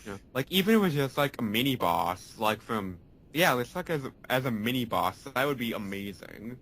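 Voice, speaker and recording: A young man chats with a fan's eagerness on a casual podcast, piling on game trivia. His pitch is middling, with a faint low rumble underneath. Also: very animated, brisk, a bit bright, low-quality recording. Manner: enthusiastic gamer talk. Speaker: podcast co-host. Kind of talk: casual chat.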